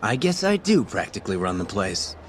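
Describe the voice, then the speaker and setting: An actor playing a smug, corrupt police detective who sells information, breezy and teasing, in an English video game dub. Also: male voice, on the low side, very animated, a little fast, slight background noise. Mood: breezy, cocky. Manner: breezy, cocky, wry, teasing.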